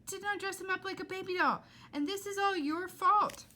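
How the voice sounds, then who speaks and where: A woman's voice: very high-pitched, normal speed, pushed and bright, pitched above the performer's own voice. A woman voicing a feisty, bossy young girl in a storybook, firm and defiant.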